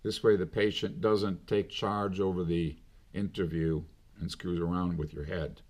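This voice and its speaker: A middle-aged man speaks his mind in an unscripted monologue, with long pauses between phrases. His voice sits on the low side, and he takes his time with every phrase. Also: level tone, darkish tone. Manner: slow, deliberate, with long pauses. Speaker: commentator.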